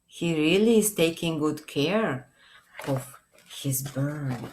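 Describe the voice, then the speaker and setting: A middle-aged woman reading a picture book aloud for young children, gently and expressively, pausing to ask questions. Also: sits low, expressive, a little hiss or hum. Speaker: children's librarian. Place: small indoor room.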